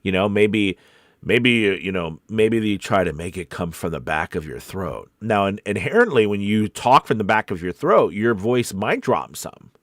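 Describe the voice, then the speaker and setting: A man with a fairly low voice coaches aspiring voice actors. He talks in an informal, encouraging and meandering way, as if thinking aloud. The sound is dry, with very little room. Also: lively intonation, moderate pace, a bit bright, dry recording. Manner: encouraging, conversational, rambling. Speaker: voice-over coach and host. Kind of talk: informal live coaching session.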